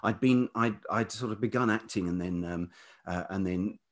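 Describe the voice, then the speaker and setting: A man's voice: lowish voice, moderate pace. A man reminiscing conversationally as a podcast guest, in a casual, reflective way. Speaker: podcast guest, writer and producer.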